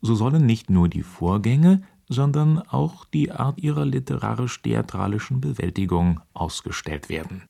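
A man reciting quoted passages slowly and weightily in a radio documentary. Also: mid-range voice, animated, vocal fry, warm tone. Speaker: voice reading quotations. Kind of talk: scripted reading of quoted text.

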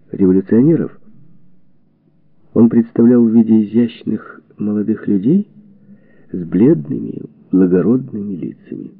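Male audiobook narrator for history: gravelly, creaky edge, expressive measured phrasing, very dry narrow-band sound. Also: on the low side, no room sound. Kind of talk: scripted narration of a historical book.

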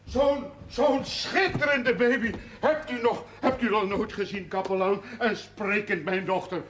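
An actor playing an excitable, proud new grandfather in a television drama, exclaiming and repeating himself boastfully. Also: high-pitched, measured pace. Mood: elated. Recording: roomy echo with faint noise.

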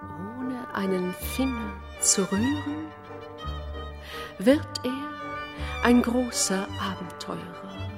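Slow, solemn female narrator. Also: fairly high pitch, expressive, music in the background, heavily acted. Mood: solemn, intense. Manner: measured, broken into deliberate phrases. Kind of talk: solemn, poetic radio play narration.

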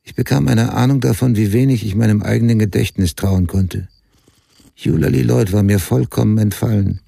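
Husky, gravelly narrator. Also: male voice, fairly low pitch, normal speed, warm tone, no room sound, heavily acted. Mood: sober, reflective. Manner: sober, matter-of-fact. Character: grown male writer soberly recounting his murdered mother's case. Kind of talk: scripted first-person narration, radio drama.

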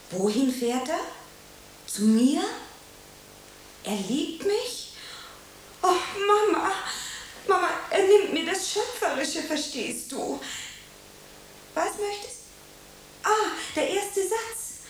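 A very high-pitched, eager young actress in a radio drama. She is theatrical and anxious, lingering slowly over her words. The room rings around her, with a faint hiss. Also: reverberant, heavily acted, poor audio. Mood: chatty, needy. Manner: gushing, hesitant, dramatic pauses, earnest. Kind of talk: German radio play acting.

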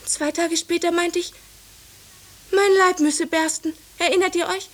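Very shrill, fervent servant girl. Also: steady tone, normal speed. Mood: insistent. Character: excitable teenage servant girl in a witch hunt. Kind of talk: 1950s German radio play acting.

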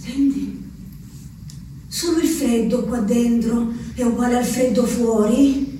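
An actress performing a slow theatrical monologue as a woman confined in an asylum writing to her husband, with a lilt. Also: a little high, a little hiss or hum. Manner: slow, intimate, shifting between hushed and sing-song. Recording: echoey, distant radio theatre recording.

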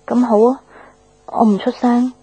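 A woman's voice: fairly high pitch, steady tone, measured pace, warm tone, slightly rough, low-quality recording. An actress playing a caring but frustrated young girlfriend in a Cantonese radio drama, with a Hong Kong accent and an earnest, plaintive manner. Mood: resigned, hurt. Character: caring, frustrated young girlfriend, anxious about her relationship. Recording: background music under the dialogue.

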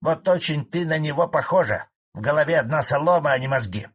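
A cranky old farmhand, as a male reader puts him on, ranting gruffly in a raised, husky voice. He grumbles defiantly and louder than the storyteller around him. A bitter, stubborn old man in an audiobook. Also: on the high side, expressive, a little slow, pitched above the performer's own voice, heavily acted. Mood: angry. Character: grumpy old male collective farmer, spiteful.

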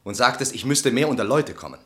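A male actor plays a brooding, resentful husband in a radio drama. His medium-pitched voice swings widely in intonation, and his delivery is intense, articulate and theatrical. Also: animated, quick pace, natural voice. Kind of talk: German literary radio play acting.

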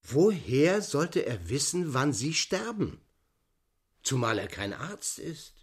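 An actor in a German radio play voicing a brusque, puzzled medical professor who questions and orders with authority. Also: male voice, on the high side, big pitch swings, slow pace, breathy edge, full character voice. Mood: puzzled, skeptical.

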